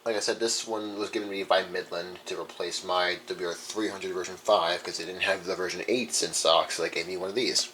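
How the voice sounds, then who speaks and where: A young man with a low voice talks informally, like a hobbyist showing off a collection. He rambles casually from item to item. There is some noise behind him in the room. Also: steady tone, fairly quick, hardly any pauses, pushed and bright, rough recording. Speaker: radio collector. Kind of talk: casual show-and-tell vlog. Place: indoor room.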